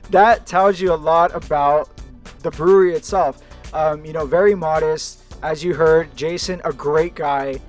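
A man's voice: fairly high pitch, steady tone, unhurried, soft background music. A man co-hosting a casual beer podcast, laid-back, laughing often and swearing casually. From Puerto Rican family. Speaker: podcast co-host. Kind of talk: casual chat over beer.

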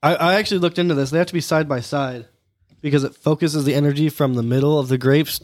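A man in his twenties on a casual podcast, sharing trivia facts quickly and getting defensive when teased. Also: on the high side, fairly even intonation, dry recording, clean studio sound. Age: 20s. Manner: quick, defensive, fumbling restarts. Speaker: podcast co-host reading facts.

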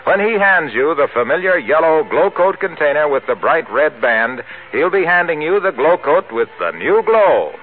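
A man's voice: a little high, very animated, moderate pace, soft background music. A man announcing a radio advertisement in polished, upbeat 1940s sales style. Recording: tinny 1940s radio broadcast.

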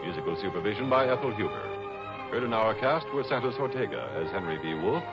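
Lively male radio announcer over loud music. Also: fairly low pitch, fast. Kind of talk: 1960s radio announcing, scripted credits. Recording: muffled vintage radio broadcast.

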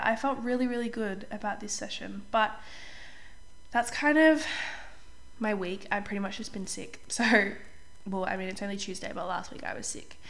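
Young woman, podcast host, chatty, upbeat, slightly hoarse voice, mid-pitched. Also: fairly bright tone. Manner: chatty, upbeat, says "like" often, clears throat. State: slightly hoarse, getting over a cold. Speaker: podcast host and life coach. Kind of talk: casual solo podcast chat.

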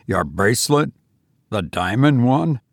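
A man voicing a brooding, fearful lover in an audiobook, grave and halting but tender. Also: medium pitch, expressive, vocal fry, no room sound, clean studio sound. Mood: fearful, dazed. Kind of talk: audiobook character voice.

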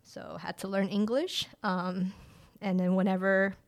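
A woman's voice: mid-range voice, unhurried. Chatty woman in her late twenties. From rural China. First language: a Chinese village language. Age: late 20s. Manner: relaxed and chatty, with frequent "like" fillers and restarts. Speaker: guest, director of family empowerment. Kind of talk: casual interview conversation.

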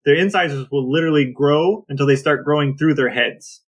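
Male podcast co-host, husky edge, fairly high pitch, casual chat. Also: a little fast.

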